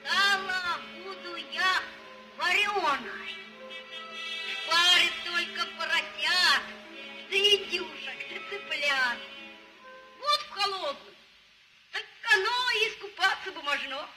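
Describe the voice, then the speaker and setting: Женщина озвучивает простодушного доброго деревенского паренька из мультсказки, театрально говоря стихами. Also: female voice, very high-pitched, slow pace, long pauses, music in the background, low-quality recording. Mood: incredulous. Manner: theatrical rhymed speech. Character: simple, good-hearted young peasant lad.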